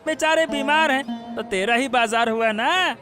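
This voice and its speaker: Man voicing a chatty, high-pitched fruit seller. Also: a little slow, over music. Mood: gossipy, surprised. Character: chatty, curious, gullible village fruit seller. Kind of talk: cartoon story dialogue.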